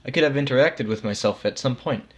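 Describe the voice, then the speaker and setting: A man's voice: mid-range voice, a little fast, close to an everyday voice. A man voicing a bewildered middle-aged man who has just died and keeps questioning, in acted story dialogue. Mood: incredulous. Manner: puzzled, questioning.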